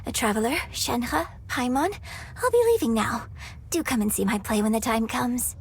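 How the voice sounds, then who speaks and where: A woman playing a courteous young opera performer in a video game, gentle and formal. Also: a little high, animated, moderate pace, breathy edge. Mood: cordial. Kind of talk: scripted video game voice acting.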